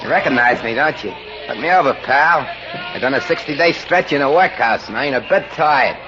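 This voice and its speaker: Actor as cocky New York small-time crook. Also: male voice, medium pitch, lively intonation, over music, lightly acted. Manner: slangy, brash, fast-talking wise guy. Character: cocky, wheedling small-time male pickpocket turned carnival barker. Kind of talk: 1940s radio drama acting. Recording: noisy, dull old radio recording.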